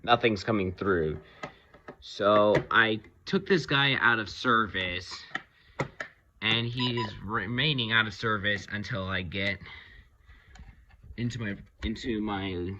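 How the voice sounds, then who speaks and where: A man giving a casual, unscripted review of his hobby collection, talking haltingly and pausing mid-phrase. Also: on the low side, lo-fi sound, background noise. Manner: halting, rambling delivery with pauses mid-phrase.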